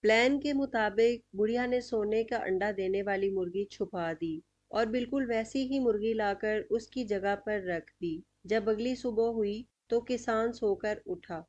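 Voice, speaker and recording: A woman's voice: medium pitch, darkish tone, lo-fi sound. A woman telling a scripted moral story as narrator of a cartoon.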